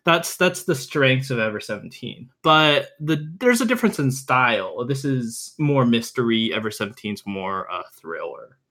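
A man's voice: mid-range voice, very animated, moderate pace, few pauses, sharp tone. A man in his twenties, a podcast guest, explaining things casually and informally, often repeating words as he goes. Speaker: podcast guest, visual novel reviewer. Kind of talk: casual chat, explaining and recommending.

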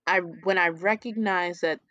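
A woman's voice: on the low side, steady tone, measured pace, pushed and bright, no room sound, muffled recording. A woman co-hosting a casual conversation, talking openly and reflectively with frequent restarts.

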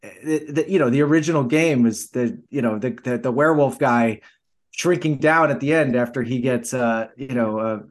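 A man's voice: mid-range voice, moderate pace. A man giving emphatic opinions in a casual movie discussion, often repeating his phrases.

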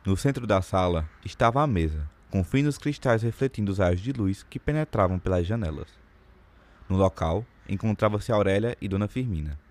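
A man's voice: on the low side, darkish tone. A man giving scripted literary narration for an audio drama.

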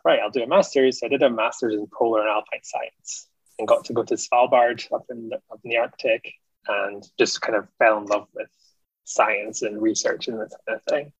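A man's voice: medium pitch, normal speed, husky, no echo. A man in his thirties from Scotland, with a mild mixed Scottish accent, chatting casually as an interview guest and laughing often. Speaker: guest, ecologist. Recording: dull lo-fi dry audio.

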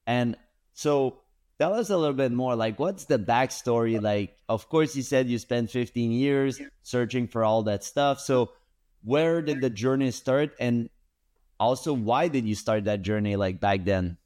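A man's voice: mid-range voice, a little slow, darkish tone. A man hosting an interview, eager and affirming as he asks his guest questions. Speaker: podcast host, breathwork teacher. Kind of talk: conversational interview.

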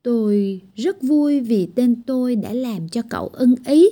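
A woman voicing a gentle but bossy blue-eyed tiny girl who fusses over a patient in a children's storybook. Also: fairly high pitch, expressive, a little slow. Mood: caring. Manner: scolding yet caring.

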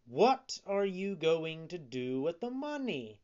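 A narrator voicing a sly, scornful fox swindler in a children's story, smooth-talking and insinuating. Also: mid-range voice, sing-song, slow, a little mellow, highs cut off.

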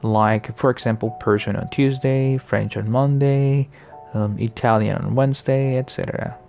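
A man's voice: mid-range voice, steady tone, gravelly, darkish tone, soft background music. Friendly late-20s male podcast host. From the Americas. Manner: friendly, reflective, often pausing with fillers and restarts. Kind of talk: casual solo podcast monologue.

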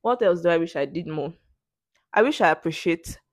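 A woman's voice: fairly low pitch, lively intonation, fairly quick, no room sound, clean studio sound. Sarcastic young Nigerian woman. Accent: Nigerian English. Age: young adult. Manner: sarcastic, playful, laughs often. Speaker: podcast host. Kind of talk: casual solo Q&A podcast.

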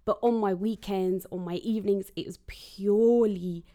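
A woman's voice: medium pitch, fairly even intonation, moderate pace, warm tone. Earnest woman born in Trinidad. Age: late 20s to early 30s. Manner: earnest, flowing, emphatic. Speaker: guest, radiographer. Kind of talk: casual podcast chat.

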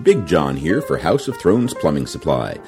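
A man playing a big, folksy plumbing supply salesman with deadpan patter in a spoof radio ad. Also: extremely low pitch, normal speed, over loud music. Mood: deadpan, salesy.

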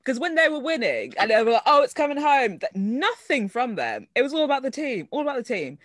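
A woman co-hosting a casual podcast, lively and quick to react. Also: high-pitched, no room sound. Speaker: podcast co-host. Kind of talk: casual chat among friends.

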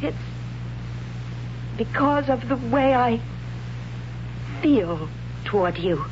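An actress playing a troubled young warrior woman in a 1970s radio drama, speaking haltingly and close to tears, on a muffled old radio recording with hiss. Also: a little high, animated. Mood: torn. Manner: halting, hesitant, breaking into tears.

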